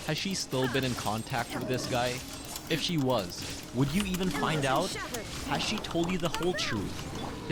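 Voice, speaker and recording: A man reading written personal posts aloud as a scripted narrator. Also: mid-range voice. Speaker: narrator of online relationship stories. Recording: clearly noisy background.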